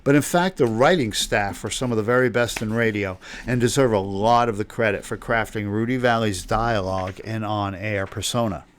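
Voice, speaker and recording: A man hosting a radio history show, reading scripted narration. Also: lowish voice. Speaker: host of an old time radio retrospective.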